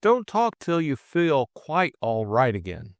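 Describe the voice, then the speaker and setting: A man's voice: medium pitch, huge pitch swings, unhurried, no echo, clean studio sound, voice pushed up. A man voicing an anxious, besotted young Englishman who fusses and gushes in an audiobook. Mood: soothing. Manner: gushing, fatuous, solicitous. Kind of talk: audiobook character voice.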